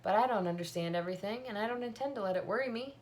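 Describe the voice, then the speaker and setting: A woman reading the part of a wise, bearded old country doctor in a storybook, unhurried and thoughtful. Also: mid-range voice, slight background noise, voice pushed up, close to an everyday voice. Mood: reflective. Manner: unhurried, thoughtful, gently questioning.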